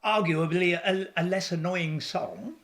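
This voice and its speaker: A woman's voice: on the low side, a little slow. A woman playing a vague, quirky elderly British scientist in a radio play, hesitant and absent-minded as she talks. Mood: mildly amused.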